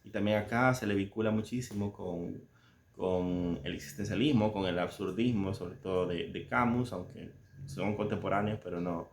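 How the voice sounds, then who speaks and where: A man from El Salvador with a Salvadoran Spanish accent, talking casually and reflectively about a book he loves, searching for words with soft chuckles. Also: lowish voice, normal speed, echoey room, a little hiss or hum. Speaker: book reviewer. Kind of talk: casual spoken book commentary.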